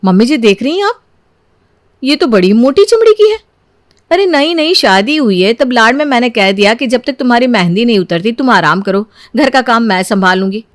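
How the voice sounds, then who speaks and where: Woman acting an aggrieved, high-voiced daughter-in-law. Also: lively intonation, normal speed, dry recording, studio quality, lightly acted. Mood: indignant complaining. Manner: whiny, indignant, dramatic. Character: exasperated, complaining young Indian elder daughter-in-law.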